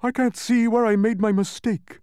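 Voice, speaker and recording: A man's voice: high-pitched, steady tone, moderate pace, a little mellow, smooth, no echo, studio quality, pitched above the performer's own voice, natural voice. A man voicing a blustering, grumbling male insect humbug in a storybook. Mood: grumbling, baffled.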